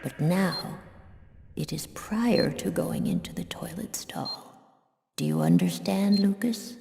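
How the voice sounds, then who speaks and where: A woman voicing a calm, controlling hypnotist in a video game, with a measured, soothing but commanding delivery. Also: on the low side, gravelly, noisy background, full character voice. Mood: soothing, firm. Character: calm, controlling female hypnotist guiding an entranced man. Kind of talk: dramatic video game acting. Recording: long reverb, loud music behind.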